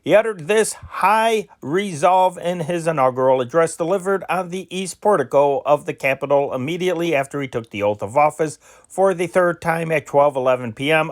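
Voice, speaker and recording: Man reading old news stories, a bit high. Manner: measured reading with self-corrected slips. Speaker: newspaper reader.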